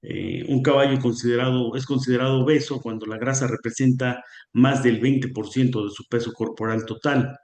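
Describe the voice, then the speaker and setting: A man's voice: mid-range voice, steady tone, normal speed, darkish tone. A man giving an online conference lecture, hesitant with many fillers and a slightly sore throat. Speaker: guest lecturer on equine nutrition. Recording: roomy echo.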